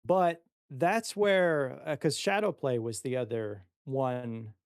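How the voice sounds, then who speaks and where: A man chatting casually as a player creating a character, tentative and halting in how he talks. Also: medium pitch, clean tone, no echo, clean studio sound. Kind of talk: casual chat.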